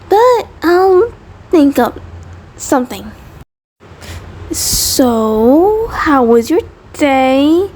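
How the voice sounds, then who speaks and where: Woman doing a nervous sweet centipede gf for audio roleplay, high mellow voice, stammery and rambly, slow pace, bit of background noise. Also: exaggerated voice. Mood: quietly upset. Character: anxious, devoted female mutant centipede partner, sweet and neurotic. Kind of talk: intimate scripted audio roleplay, ASMR-like.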